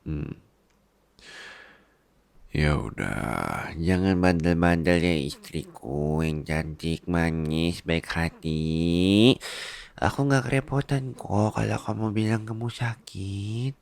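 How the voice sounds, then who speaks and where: A man's voice: sits low, slow pace, vocal fry, heavily acted. A man playing a doting, worried boss husband who is clingy like a big baby, coaxing and teasing tenderly in an intimate ASMR roleplay. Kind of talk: intimate ASMR boyfriend-style roleplay in casual talk.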